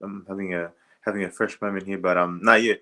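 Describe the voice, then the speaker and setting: Australian man in his thirties, very deep voice with a bright edge, hesitant casual interview talk. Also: little pitch movement, fast. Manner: hesitant, many fillers and restarts. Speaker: podcast guest, former rugby league player.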